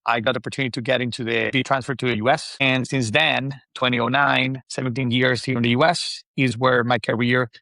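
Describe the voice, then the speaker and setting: A man's voice: medium pitch, flat delivery, a little fast, hardly any pauses, bright, forceful tone, dry recording, clean studio sound. A middle-aged man from Venezuela, a native Spanish speaker with a Venezuelan accent, telling stories conversationally as an interview guest. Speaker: interview guest, spirits industry executive.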